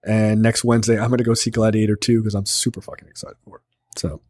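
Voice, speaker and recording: A man co-hosting a pop culture podcast in casual, profane chat, getting excited and laughing often. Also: lowish voice, quick pace, no echo, clean studio sound. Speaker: podcast co-host.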